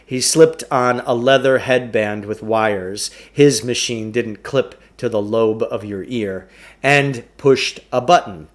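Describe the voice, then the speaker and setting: A man's voice: medium pitch, takes it slowly. A man reading a children's novel aloud as a storyteller, in scripted narration.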